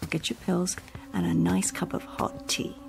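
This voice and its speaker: A woman's voice: lowish voice, level tone, normal speed, vocal fry, music in the background. An actress playing a caring, encouraging woman home helper in a radio drama, coaxing and reassuring.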